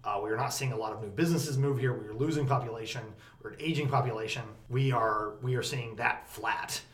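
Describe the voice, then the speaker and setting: A man hosting a podcast about energy policy, talking casually with a loud, projecting voice and plenty of emphasis. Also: medium pitch, fast. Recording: roomy echo, faint background noise.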